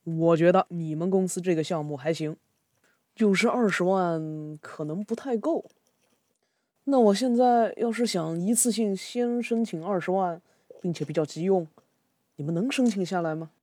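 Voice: mid-range voice, moderate pace, a little mellow, dry recording, studio quality, natural voice. A performer playing a worried father working in the city, grumbling and colloquial, in an amateur radio drama. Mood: doubtful, questioning. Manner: sighing, complaining, colloquial. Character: worried migrant father seeking a loan in the city.

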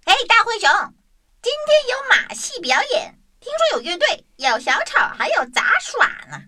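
A woman's voice: extremely high pitch, wildly swooping pitch, normal speed, pushed and bright, slight background noise, exaggerated voice. A woman voicing a cheerful, friendly character in a children's radio play. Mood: excited. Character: cheerful, friendly drummer or little dog.

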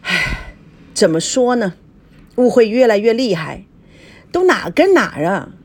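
A flustered male manager voiced by a woman narrator in an audiobook drama. He is halting and exasperated, pleading and placating in a lively, fairly high voice. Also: husky, heavily acted.

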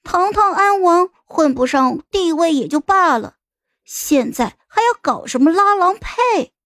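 A woman's voice: very high-pitched, lively intonation, a little slow, a bit bright, no echo, clean studio sound, pitched above the performer's own voice, heavily acted. A woman voicing an impudent young child with a blunt, cheeky, mocking way of talking in an audio story. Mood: indignant.

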